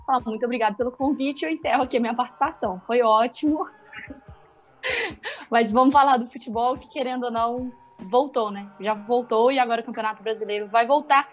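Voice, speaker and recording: A woman's voice: fairly high pitch, quick pace, lo-fi sound. Opinionated woman with a Minas Gerais accent. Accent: Minas Gerais Portuguese. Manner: animated, opinionated, thinks aloud with restarts. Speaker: sports journalist guest. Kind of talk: casual video-call discussion.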